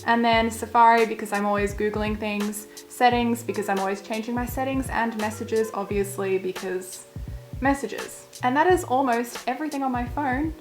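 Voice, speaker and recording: A young woman, chatting casually through a vlog in a croaky voice that is wearing thin, with plenty of filler words. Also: on the high side, moderate pace, soft background music. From Australia. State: croaky, losing her voice. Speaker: vlogger. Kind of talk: casual walkthrough.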